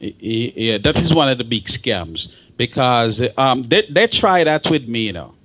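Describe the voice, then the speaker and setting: A man's voice: medium pitch, very animated, normal speed, a bit bright, poor audio. A man with a Jamaican accent speaking in an opinionated radio discussion, emphatic and often repeating words for stress.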